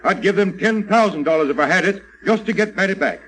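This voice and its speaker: A man's voice: high-pitched, animated, fast, a bit bright, poor audio. An actor playing a worried, authoritative father in an old-time radio serial, earnest, emphatic and commanding. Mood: grave, anxious. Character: worried father, an authoritative leader called Doc.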